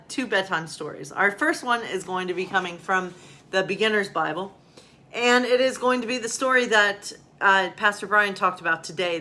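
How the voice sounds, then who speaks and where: A woman's voice: lowish voice, bright, forceful tone. A woman pastor speaking informally and a bit ramblingly to her listeners as friends, chatting and reading children's bedtime stories. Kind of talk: casual chat and storybook reading for children.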